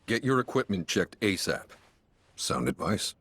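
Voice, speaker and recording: A courteous game character who hands out practical advice. His voice is fairly low and very dry, and he explains things politely and articulately. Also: animated, measured pace, creaky, fairly bright tone, husky, no echo, full character voice. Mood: helpful, polite. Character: courteous, helpful man giving practical advice. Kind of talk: video game voice acting.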